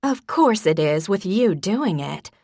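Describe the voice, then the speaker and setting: A woman's voice: mid-range voice, sing-song, takes it slowly, a little mellow, no echo, studio quality, pitched above the performer's own voice, exaggerated voice. A woman voicing an elderly grandmother who is stern and fussy, speaking firmly and indignantly. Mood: insistent. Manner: firm, insistent, indignant.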